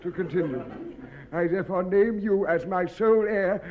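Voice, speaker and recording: An actor playing a fussy, formal family solicitor with a measured, mournful manner, in 1950s radio comedy style. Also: male voice, high voice, some chatter behind, a little hiss or hum, natural voice. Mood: solemn, formal. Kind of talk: 1950s British radio sitcom acting. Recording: dull, muffled old radio recording.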